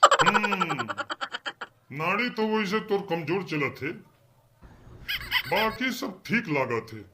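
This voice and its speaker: A man's voice: high voice, huge pitch swings, slow, creaky, exaggerated voice. A man voicing a casual, bumbling village quack doctor in a comedy cartoon, chatty and repeating his reassurances with a Chhattisgarhi accent. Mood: casual, reassuring. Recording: noisy track with clicks.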